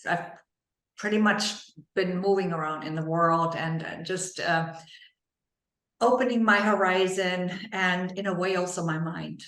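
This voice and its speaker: A woman from Germany with a German accent, a guest on a podcast talking about her life in a relaxed, reflective way and laughing lightly now and then. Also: fairly low pitch, unhurried, reverberant. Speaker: podcast guest, leadership coach. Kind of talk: casual interview conversation.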